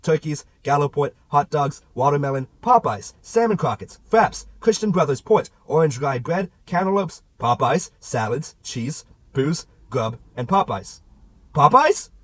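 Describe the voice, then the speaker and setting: A man's voice: on the high side, animated, measured pace, fairly bright tone, no echo. A man narrating a literary novel as an amateur audiobook reader, with a theatrical delivery.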